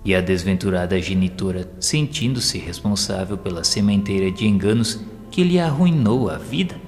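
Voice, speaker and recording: A man with a fairly low voice narrates an audio novel. His delivery is solemn, formal and measured, set in a long reverb over faint music. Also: lively intonation, a little mellow. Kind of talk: scripted dramatic narration of a spiritual story.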